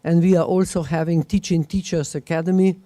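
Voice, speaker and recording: Halting woman panelist, non-native English. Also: fairly low pitch, steady tone, warm tone. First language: neither English nor Russian. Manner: halting, searches for words, many fillers. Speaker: panelist, head of a management school association. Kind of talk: panel discussion remarks.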